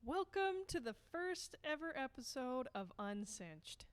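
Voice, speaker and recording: Woman, fairly high voice, smooth, playful, casual podcast chat. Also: sing-song, a little slow. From Canada. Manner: playful, jokey. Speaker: podcast co-host, horse trainer.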